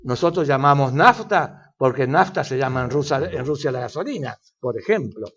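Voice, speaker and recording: A man's voice: medium pitch, lively intonation, moderate pace, a bit bright, muffled recording. Older Argentine man lecturing on energy. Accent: Argentine Spanish. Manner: lecturing, with many fillers and restarts. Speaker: energy expert guest. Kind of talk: interview answers, explanatory.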